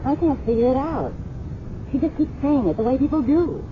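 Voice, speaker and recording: A woman's voice: high voice, lively intonation, brisk. Troubled female roommate, American, candid, hesitant, confiding, 1970s radio drama, muffled noisy recording. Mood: troubled, recalling. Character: shaken, candid female roommate of a murdered woman.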